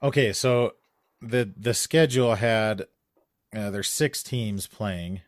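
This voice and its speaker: A man in casual chat, telling a long story that gets louder and more animated as it goes. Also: on the low side, slow pace, dry recording, studio quality. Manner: animated storytelling, rising loud when excited.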